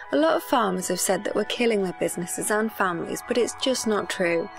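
A woman's voice: medium pitch, natural voice. A woman playing a cheerfully callous corporate chief executive, speaking in a breezy, matter-of-fact way. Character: cheerfully callous female food-tube CEO. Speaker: guest. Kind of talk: comedic radio-show parody.

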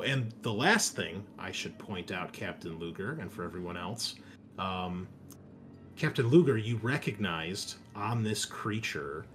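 A man narrating casually as game master, improvising in a halting way. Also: lowish voice.

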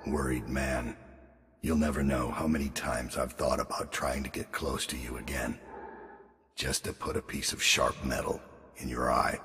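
An actor playing a weary, brooding middle-aged assassin full of regret in a video game, grim and deliberate, with a deep gravelly rasp. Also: male voice, fairly bright tone, full character voice. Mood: quiet contempt, brooding. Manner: slow, grim, deliberate delivery. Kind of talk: dramatic video game monologue.

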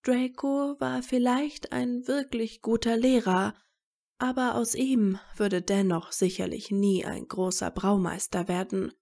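A woman's voice: on the high side, fairly even intonation, measured pace, vocal fry, dark tone. A woman narrating a scripted story.

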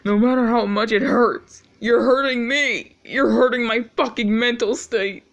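A man's voice: very high-pitched, steady tone, measured pace, gravelly, a bit bright, exaggerated voice. An actor playing a tormented man who hears his mother's voice, cursing and talking to her as if she were in the room. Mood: bitter. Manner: anguished, cursing, talking to someone absent. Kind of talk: dramatic monologue.

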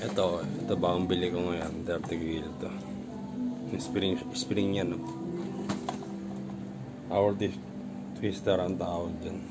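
Low-voiced man rambling over music. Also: little pitch movement, a little slow, pauses often. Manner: rambling, repeats himself, loose restarts. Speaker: vlogger. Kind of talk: casual home workout vlog. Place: home room with gym gear. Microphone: phone camera.

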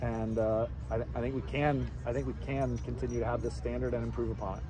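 A middle-aged man, a head soccer coach, answering reporters after a game outdoors at night, measured and repeating words as he thinks. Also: medium pitch, level tone. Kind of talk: postgame press interview. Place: outdoors by a stadium track at night.

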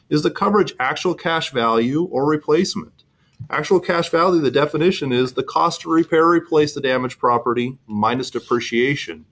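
A middle-aged man walks through insurance points in a webinar at a mid pitch. He explains earnestly and often restarts his sentences with "um". It is a steady, plain presentation voice.

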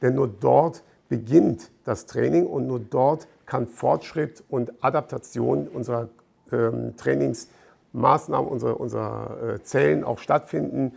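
A middle-aged man explaining fitness equipment to camera in an informal, deliberate way, as an expert presenter. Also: mid-range voice, creaky, a little mellow, breathy edge. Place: bright gym showroom indoors with large windows.

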